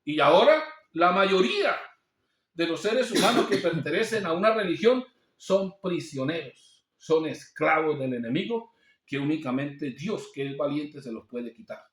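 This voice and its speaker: A male pastor preaching a sermon, asking rhetorical questions and quoting scripture. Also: fairly high pitch. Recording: echoey room.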